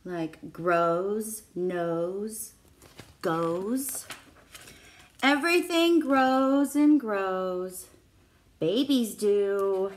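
A middle-aged woman, a preschool teacher, reading a picture book aloud to young children in simple phrases. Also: medium pitch. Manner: simple child-directed phrasing with questions to listeners. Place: small classroom, indoors. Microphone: phone or tablet at arm's length.

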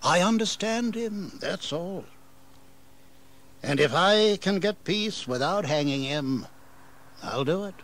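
An actor playing a weary, proud older colonial governor in an audio history drama, with a stately delivery. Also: male voice, high-pitched, lively intonation, slow pace, long pauses, fairly bright tone, heavily acted. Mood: weary, reflective.

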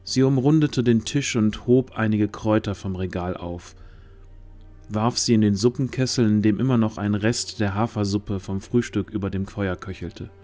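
A man's voice: lowish voice, normal speed, warm tone, soft background music. A man narrating a scripted fantasy audio drama as its storyteller.

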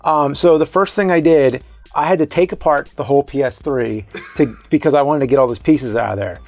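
American guy 30s hosting a movie chat, voice a little high, rambles and restarts his sentences. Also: fairly quick, faint music behind. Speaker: host of a movie review show. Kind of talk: casual storytelling chat. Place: small indoor room set lined with videotapes.

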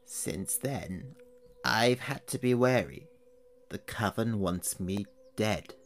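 A man voicing an old witch who complains about her neighbors at a slow, chatty pace. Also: on the low side, animated, slight background noise. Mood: brooding. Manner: slow, chatty complaining monologue rising to anger. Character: old witch woman, wary, aggrieved, self-pitying. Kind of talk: audio horror story reading.

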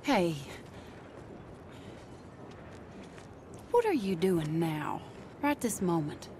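An actress playing a genteel, sharp-tongued Western lady in a video game, fond and playfully scolding in natural dialogue. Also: lowish voice, very animated, a little slow, slight background noise. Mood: hesitant.

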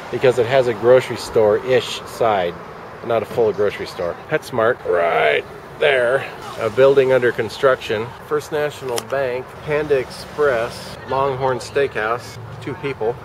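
A man in his forties giving dry, rambling commentary to a handheld camera outside a shopping center. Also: medium pitch. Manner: dry, wry running commentary, muttered asides. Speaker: vlogger. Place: outdoors at a shopping center parking lot. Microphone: handheld camera at arm's length. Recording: handheld camera outdoors with traffic noise. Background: traffic and outdoor ambience.

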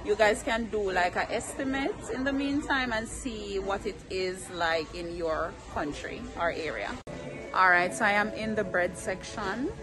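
A woman's voice: medium pitch, measured pace, over music, crowd noise, poor audio. Chatty young woman vlogging to camera. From Jamaica. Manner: chatty, upbeat, talks straight to camera. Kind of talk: casual travel vlog. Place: inside a car, then store aisles. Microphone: phone held at arm's length.

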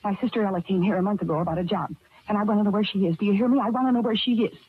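An actress playing an anxious but determined young woman in an old radio melodrama, earnest, halting and repeating herself insistently. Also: mid-range voice, steady tone, breathy edge, lightly acted. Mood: accusing, desperate. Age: young adult. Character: anxious, determined young woman seeking her missing sister. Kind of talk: 1930s-40s radio melodrama acting. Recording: tinny, narrow old radio recording.

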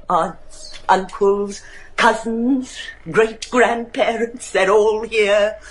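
A woman acting a fearful, haunted daughter of a gothic manor in a horror radio play, theatrical and hushed. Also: fairly high pitch, full character voice. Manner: breathy, theatrical, hushed and ominous.

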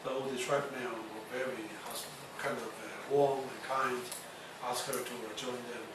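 Halting man lecturing in an echoey hall. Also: medium pitch, steady tone. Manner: halting, many fillers and restarts. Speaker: university lecturer. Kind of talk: classroom lecture. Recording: echoey lecture hall, distant mic, noisy.

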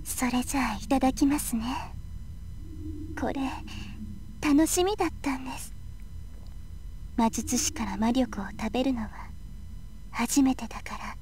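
A woman voicing a corrupted young sorceress in a Japanese visual novel, softly polite with teasing, cruel menace. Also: high-pitched, a little fast, pauses often, background noise, natural voice. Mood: eager, gloating.

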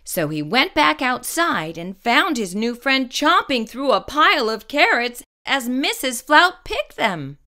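Bright, animated woman reading a storybook. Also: sits high, a little slow, hardly any pauses. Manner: expressive, carefully phrased for children. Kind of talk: children's picture-book read-aloud.

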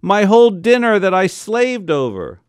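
A man's voice: on the high side, wildly swooping pitch, normal speed, no room sound. A man voicing an exasperated mother in a comic family story, dramatic and full of complaint.

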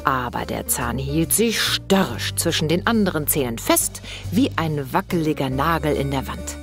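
Very animated woman reading children's stories. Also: sits low, normal speed, over music. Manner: animated, acting out the dialogue. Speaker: storyteller. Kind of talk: expressive scripted children's story narration.

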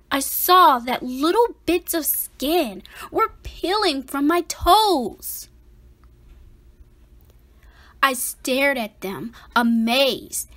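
Woman reading as an anxious, high-voiced little boy. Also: a bit bright, heavily acted. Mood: amazed, alarmed. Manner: slow, emphatic, theatrical delivery. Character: anxious, dramatic five-year-old boy narrating his own story. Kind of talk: expressive picture-book read-aloud.

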